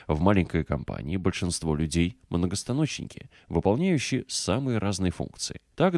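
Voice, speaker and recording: Male audiobook narrator, low-pitched, lively intonation, measured and articulate delivery of non-fiction. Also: no room sound, studio quality. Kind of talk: scripted audiobook narration of a business book.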